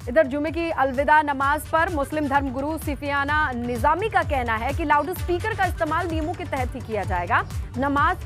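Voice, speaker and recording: High-pitched young woman news anchor. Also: normal speed, a bit bright. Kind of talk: live breaking news presentation. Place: television news studio. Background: faint news music.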